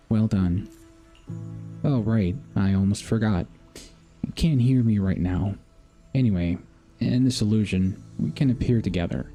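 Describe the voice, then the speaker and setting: A man streaming a video game, reading its dialogue aloud haltingly and dropping in casual asides. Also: lowish voice, dark tone. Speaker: gaming streamer. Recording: faint game music behind. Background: faint game music.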